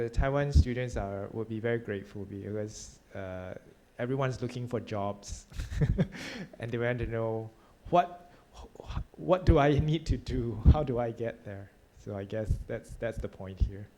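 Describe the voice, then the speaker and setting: A man lecturing at a university, chatting casually over a hybrid video call, talking haltingly with many fillers and laughing often. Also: medium pitch, mellow. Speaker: lecturer hosting the class. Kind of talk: casual chat. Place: university room.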